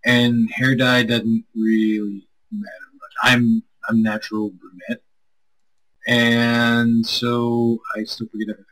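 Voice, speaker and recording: A man's voice: medium pitch, completely flat delivery, slow, fairly bright tone, low-quality recording. A man streaming as a co-host, chatting casually with viewers in a rambling, self-deprecating way.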